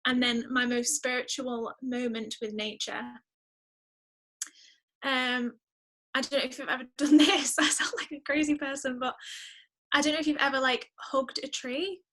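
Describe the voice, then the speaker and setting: A woman speaking as a podcast guest in a casual interview, chatty and expressive, often exclaiming. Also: high-pitched, normal speed.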